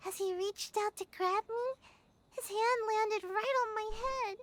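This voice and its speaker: A woman's voice: very high voice, unhurried, a bit bright. A woman voicing a sulky young ninja girl in a video game, childlike, earnest and dejected, with a dramatic streak. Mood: distraught.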